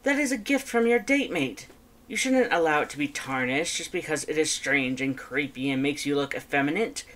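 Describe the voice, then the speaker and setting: A woman's voice: sits low, lively intonation, normal speed, fairly bright tone. A woman voicing a proud, competitive male skeleton in a fan fiction audiobook, speaking emphatically and formally with theatrical flair. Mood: indignant.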